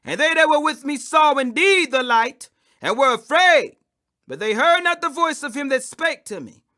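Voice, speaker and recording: A man delivers a dramatic scripture reading in a very high register. His tone is bright and forceful, and he declaims in a preacher-like way, drawing out the stressed phrases for emphasis. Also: expressive, moderate pace. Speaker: scripture reader.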